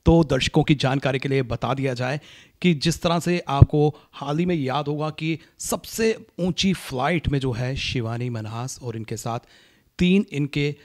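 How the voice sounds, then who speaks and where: A man's voice: medium pitch, darkish tone. A middle-aged man with an Indian accent conducting a news interview, introducing his guest and asking questions. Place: indoor living room, seated interview. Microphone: handheld reporter mic.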